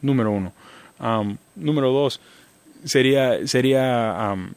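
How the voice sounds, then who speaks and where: A man with a mid-pitched voice answers interview questions as a legal guest, stopping and restarting his sentences often. The sound is dry and close, with no room around it. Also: clear, resonant tone, no echo. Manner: halting, many restarts and fillers. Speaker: immigration lawyer guest.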